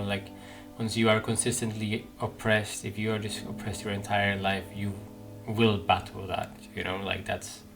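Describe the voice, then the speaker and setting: A man's voice: lowish voice, gravelly. A man giving casual playthrough commentary, thinking aloud hesitantly as he muses over his choices. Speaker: gaming video host. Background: game music.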